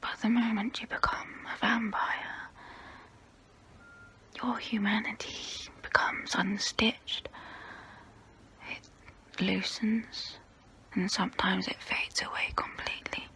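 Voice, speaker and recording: A guilt-ridden vampire woman, acted out for an ASMR role play confesses in a breathy whisper right up against the mic. Her delivery is very slow and deliberate, with a crisp, bright edge to every hushed phrase. She sounds tormented by her own hunger. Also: mid-range voice, steady tone. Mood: wistful, resigned. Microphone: close-up microphone.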